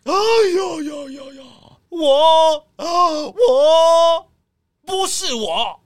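A woman's voice: sits high, lively intonation, very slow, no echo, full character voice. A woman playing a remorseful village auntie caught setting a fire, stammering and pleading tearfully in a children's radio drama. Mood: panicked.

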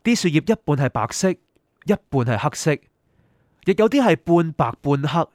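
Man acting a reflective young man, lively and high. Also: unhurried, no echo, clean studio sound. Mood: narrating. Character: young man recently dead, reflective, at peace letting go. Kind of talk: Cantonese radio drama acting and first-person narration.

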